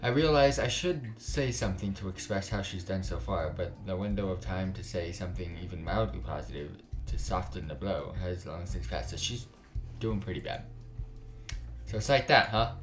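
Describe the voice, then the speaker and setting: A man's voice: low voice, moderate pace, soft background music. A man hosting a gaming video, reading a visual novel aloud and adding casual, slangy commentary. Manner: informal, reacts with slangy asides. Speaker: gaming channel host.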